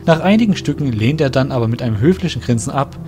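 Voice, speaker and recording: A man narrating a fan-made audio drama in a calm, even storytelling manner. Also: mid-range voice, quick pace. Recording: soft background music.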